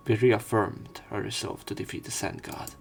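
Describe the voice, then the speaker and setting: A man with a deep, creaky voice reads visual novel narration aloud. His delivery is measured and serious, as a let's player working through the text. Soft music plays faintly behind him.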